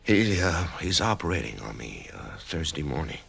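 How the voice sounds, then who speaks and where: A frightened office worker facing surgery. He stammers and halts, and now and then his very deep, gravelly voice rises to a shout. A bright, lively radio-drama delivery. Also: full character voice. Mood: tense. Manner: nervous and halting, breaking into shouts. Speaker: actor playing the lead and narrator.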